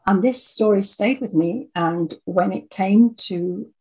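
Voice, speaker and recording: A woman's voice: medium pitch, takes it slowly, warm tone, husky, low-quality recording. A woman in her sixties, an interview guest telling her own story in a reflective, conversational way. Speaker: audio drama writer and producer, interview guest.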